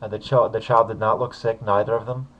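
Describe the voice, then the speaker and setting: A man with a somewhat low voice talks through a video he uploaded, in an unscripted, hesitant way. He pauses often and restarts his sentences. The recording sounds muffled and lacks high end. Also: flat delivery, a bit bright. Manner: hesitant, many fillers and restarts. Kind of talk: casual spoken commentary.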